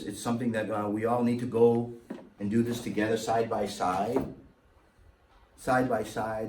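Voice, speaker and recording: A man's voice: mid-range voice, darkish tone. A man giving an impassioned, emphatic public talk to an audience, his voice sweeping up on key words. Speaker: guest speaker. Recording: echoey hall, mic far off, faint noise.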